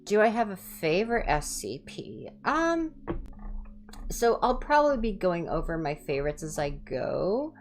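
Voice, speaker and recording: A woman hosting a livestream, chatting casually in ASMR style with a low-key, rambling, self-deprecating manner. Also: sing-song, a little slow, faint music behind. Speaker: livestream host and reader.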